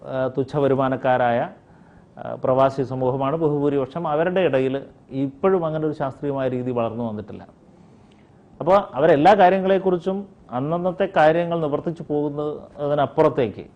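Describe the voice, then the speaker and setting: A man giving his opinions in an interview, deliberate and argumentative, with hesitant pauses. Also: medium pitch, highs cut off. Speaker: interview guest commenting on expatriate affairs.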